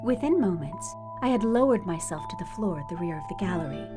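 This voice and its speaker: Actress playing a sly, animated burlesque cat burglar. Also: female voice, fairly low pitch, fairly quick, music in the background, lightly acted. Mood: cool, suspenseful. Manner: arch, coolly wry. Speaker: lead actress and narrator. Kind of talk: noir radio drama acting and narration.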